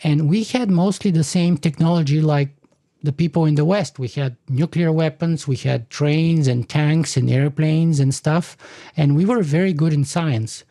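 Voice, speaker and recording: A man in his forties lays out his argument like a lecture, often restarting his sentences. His voice sits a little high and sounds mellow. It is recorded dry, with no room echo. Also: moderate pace. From Bulgaria. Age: 40s. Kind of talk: conversational podcast discussion.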